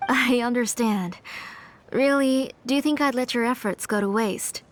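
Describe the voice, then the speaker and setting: Woman acting a higher-pitched, composed, controlling aunt. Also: measured pace, slight background noise, close to an everyday voice. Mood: gracious. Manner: composed, formal. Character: polite, protective, controlling aunt with a steely edge. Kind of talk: video game voice acting.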